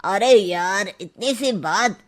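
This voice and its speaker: A woman's voice: mid-range voice, animated, slow pace, voice pushed down. A woman doing a breezy young male friend. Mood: offhand. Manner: casual, offhand.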